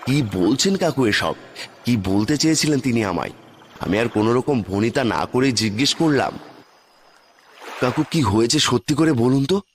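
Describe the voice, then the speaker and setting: A man's voice: medium pitch, animated, normal speed, slight background noise. A man narrating a scripted ghost story in the first person, in a dramatic style, with reverb on the recording. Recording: long hall-like reverb.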